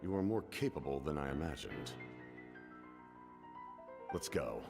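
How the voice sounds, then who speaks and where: An actor playing an imperious, guarded male warrior in a video game, speaking in measured, formal phrases with a deep, gravelly voice. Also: sing-song, full character voice. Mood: measured, conceding. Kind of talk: dramatic video game voice acting. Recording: long echoing reverb under game music.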